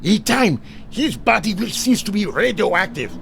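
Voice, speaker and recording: An actor playing a sinister, scheming doctor in a theatrical old-time radio drama style. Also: male voice, high voice, sing-song, a little slow, creaky, noisy background, full character voice. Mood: urgent, commanding. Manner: theatrical, melodramatic. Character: scheming crooked male doctor with a sinister calm.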